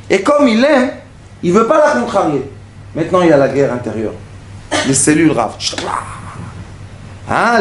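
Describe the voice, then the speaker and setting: Rabbi giving a lesson, man with a high voice, asks the room questions, acts out small scenes, echoey hall. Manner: storytelling, acts out little dialogues, rhetorical questions. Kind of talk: Torah lesson. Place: lecture hall.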